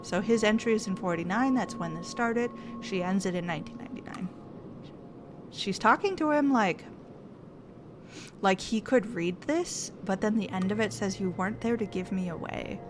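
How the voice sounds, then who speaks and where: A woman's voice: mid-range voice, normal speed, noisy background. A young woman streaming a narrative game, thinking aloud and reading its text in casual commentary.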